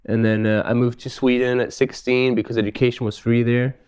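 This is a man with a smooth, resonant voice. He sits at a middle pitch and tells his stories loosely, with plenty of casual fillers. He sounds laid-back and conversational as a podcast guest. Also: a little fast. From Switzerland. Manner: relaxed, easygoing storytelling with frequent "you know". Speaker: podcast guest, live show dancer. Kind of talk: casual interview chat.

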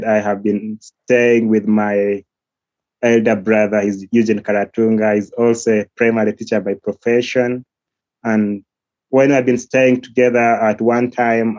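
A young man with a Ugandan accent, speaking earnestly and slowly as an interview guest, often pausing to search for words. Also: mid-range voice, a little mellow, no room sound. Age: young adult. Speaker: guest, golf academy founder. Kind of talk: remote interview. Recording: dull lo-fi line, faint music.

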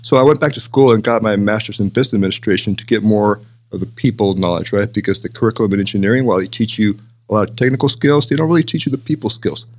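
An older man from Texas speaks as an interview guest in a fairly low voice. He moves along at a quick clip, explaining things conversationally and punctuating points with "right?" and "you know". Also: darkish tone. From El Paso, Texas, United States. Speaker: interview guest, city manager.

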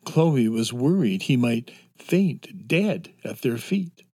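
A man narrating a children's fantasy audio drama in an expressive storytelling manner. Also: mid-range voice, husky, no room sound. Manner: slow, expressive.

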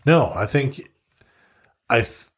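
A man's voice: mid-range voice, lively intonation, slow pace, slightly rough. A young adult man co-hosting a podcast, chatting bluntly, swearing freely and laughing a lot. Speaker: podcast co-host. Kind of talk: casual chat.

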